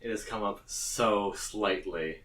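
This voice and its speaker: Man, deep voice, game master, casual rules talk, distant mic. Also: slow, a bit bright, slight background noise.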